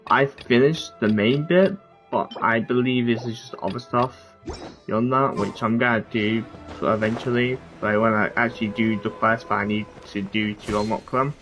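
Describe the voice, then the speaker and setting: A man's voice: medium pitch, steady tone, normal speed, fairly bright tone. A man giving casual gameplay commentary as a streamer, rambling and often trailing off mid-thought. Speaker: game streamer. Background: game music.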